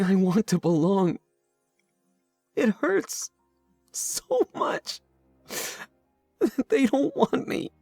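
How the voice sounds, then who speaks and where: Hesitant, slow-spoken, vulnerable young companion. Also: mid-range voice, a little mellow, no room sound. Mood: anguished, pleading. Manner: hesitant, emotionally open, earnest. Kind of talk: visual novel character voice acting.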